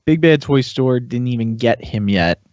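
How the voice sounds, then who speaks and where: A man's voice: mid-range voice, moderate pace, darkish tone. Man, podcast panelist, casual toy review, hesitant delivery, lo-fi dull sound, no room echo. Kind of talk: casual chat.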